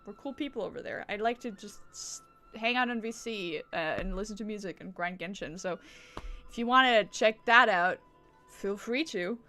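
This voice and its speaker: A woman's voice: medium pitch, normal speed, bright, forceful tone, soft background music. A woman streaming games, chatting casually in a rambling, giggly way that circles back on itself. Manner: rambling, giggly, repeats herself. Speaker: video game streamer. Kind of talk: casual livestream chat.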